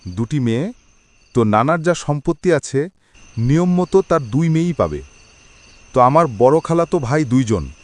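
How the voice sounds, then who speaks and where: A man voicing the sorrowful ghost of a young village visitor in a cartoon, confiding and matter-of-fact. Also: a little high. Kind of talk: cartoon dialogue.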